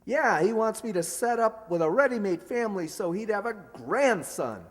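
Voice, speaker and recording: Guy as exasperated young son in a radio play, stammery, swingy high voice, hall echo. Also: expressive, normal speed. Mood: alarmed. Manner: stammering, earnest. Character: flustered, exasperated young bachelor son of rich man. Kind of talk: old-time radio comedy acting. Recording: echoey hall stage recording.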